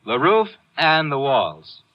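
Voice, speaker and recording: An actor playing a laid-back, quietly macabre storyteller in a 1950s radio drama, with a folksy, offhand manner. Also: male voice, a little high, big pitch swings, takes it slowly. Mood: wry, offhand. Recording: muffled old radio recording with music.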